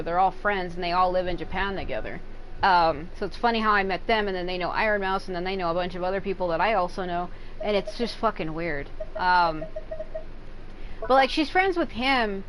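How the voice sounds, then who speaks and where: A woman game streamer chatting casually and rambling while she plays, frank and profane, with loud silly outbursts. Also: mid-range voice, a little fast, a little hiss or hum, rough recording.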